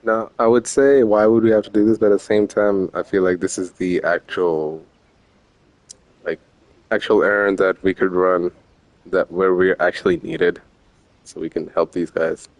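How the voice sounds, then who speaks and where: A man's voice: low voice, fairly even intonation, moderate pace, no room sound, low-quality recording. A man streaming a game with casual, rambling, slangy commentary. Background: faint game music.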